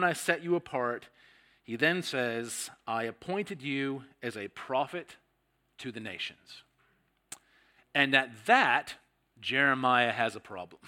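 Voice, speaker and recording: A man's voice: a little high, pushed and bright. A middle-aged man with a Canadian accent, preaching a sermon as a guest pastor in a conversational, self-deprecating way.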